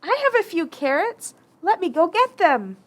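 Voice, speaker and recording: Villager puppet, voiced by a woman: very high, animated, curious and keen to help, children's show. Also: moderate pace. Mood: eager, helpful.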